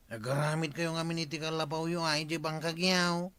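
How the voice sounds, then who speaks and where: Man playing an earnest, high-pitched Ilocano village nephew. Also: fairly even intonation, fairly quick, fairly bright tone, clean tone, no room sound, lightly acted. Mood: insistent. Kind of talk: Ilocano radio comedy drama acting.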